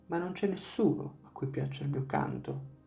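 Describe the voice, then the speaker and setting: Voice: mid-range voice, wildly swooping pitch, moderate pace, creaky, dark tone, faint music behind, heavily acted. A narrator voicing a small, sad young male crow in a storybook tale, earnest and melancholy. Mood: wistful.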